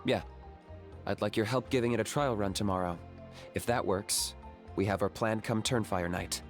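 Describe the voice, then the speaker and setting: A man voicing a calm, blunt young man in a game cutscene who speaks in a dry, matter-of-fact way. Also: on the low side, moderate pace, natural voice. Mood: deadpan. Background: game score music.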